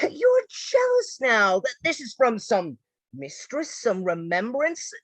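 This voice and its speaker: A woman playing a courteous but evasive young male officer in a Shakespearean radio play, with a husky voice. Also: a little high, huge pitch swings, slow pace, no echo, exaggerated voice. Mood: impatient.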